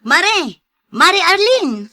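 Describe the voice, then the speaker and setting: A woman acting a sharp-tongued, easily annoyed moneylender and wife in an Ilocano audio drama, exclaiming and complaining. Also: very high-pitched, big pitch swings, extremely slow, full character voice. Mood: friendly, businesslike.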